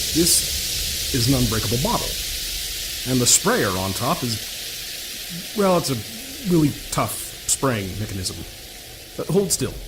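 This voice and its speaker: A man's voice: mid-range voice, very noisy. A man playing an eccentric, well-travelled inventor and rescuer in an audio drama, talkative and fussy, with a hesitant, rambling, politely wordy manner. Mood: focused, reassuring.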